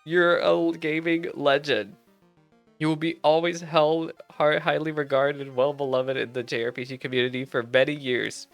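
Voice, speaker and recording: Excitable streamer, mid-pitched voice, rambling live playthrough commentary over game music. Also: moderate pace. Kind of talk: reading game dialogue aloud with excited live reactions. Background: video game music.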